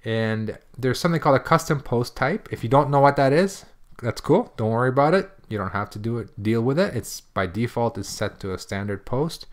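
Man, medium pitch, casual tutorial narration, informal, step-by-step explaining, software demonstrator. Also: expressive, fairly quick. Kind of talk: casual screencast tutorial walkthrough.

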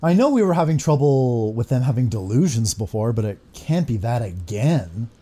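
A man's voice: medium pitch, big pitch swings, normal speed, dark tone. A man in his thirties giving casual playthrough commentary as a gaming streamer in a room at home. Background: game music and sound.

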